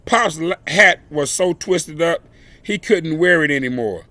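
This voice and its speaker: A man reading a children's picture book aloud as a storyteller, with dramatic, deliberate phrasing. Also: fairly high pitch, expressive, bright, forceful tone, no echo.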